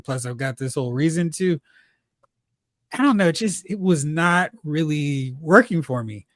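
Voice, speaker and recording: A host chatting casually about pop culture, retelling reactions in slangy, animated asides. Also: mid-range voice, dry recording, studio quality. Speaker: host of a film and TV discussion show.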